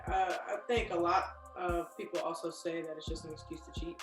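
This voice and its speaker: A woman in her thirties, a podcast guest, talking in a relaxed and chatty way. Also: lowish voice, a little fast, roomy sound, music in the background. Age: 30s. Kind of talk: casual chat.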